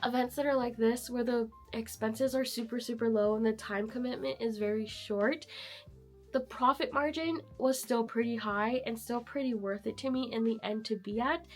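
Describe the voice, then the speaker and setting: Upbeat woman vlogger with a high, hoarse sick voice, chatting casually to camera. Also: fairly even intonation, moderate pace, faint music behind. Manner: chatty, upbeat, frequent fillers. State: hoarse, sick and losing her voice. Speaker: vlogger and artist vendor. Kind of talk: casual vlog chat to camera.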